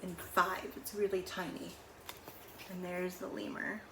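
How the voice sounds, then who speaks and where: A woman's voice: fairly low pitch, flat delivery, measured pace. Woman reading a picture book, roomy echo. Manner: explains words and pictures, asks questions, exclaims. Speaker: read-aloud storyteller. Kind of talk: picture book read-aloud with chatty asides to children. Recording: roomy echo, faint background noise.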